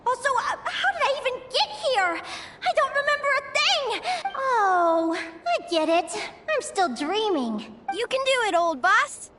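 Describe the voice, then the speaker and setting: A woman voicing an excitable young girl battler in a story game, bubbly and expressive, over music. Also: very high voice, a little slow, noisy background, exaggerated voice. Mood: eager. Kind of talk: game voice acting.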